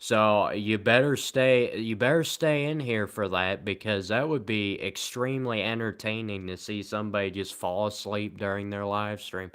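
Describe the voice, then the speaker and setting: A tired man live streaming, rambling in a sarcastic, self-mocking way as he answers viewer comments at low energy. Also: steady tone, normal speed, few pauses, a bit bright, clear, resonant tone.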